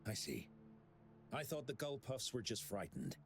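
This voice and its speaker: A man playing a polite, earnest young official in a video game, courteous and a little hesitant but expressive. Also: mid-range voice, measured pace, a little hiss or hum. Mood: earnest, apologetic. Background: game music.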